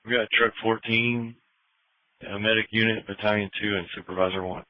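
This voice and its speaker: Flat, quick male dispatcher on radio. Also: fairly low pitch, no room sound. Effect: two-way radio. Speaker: fire dispatcher. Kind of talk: brief radio dispatch acknowledgements. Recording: thin narrowband dispatch radio.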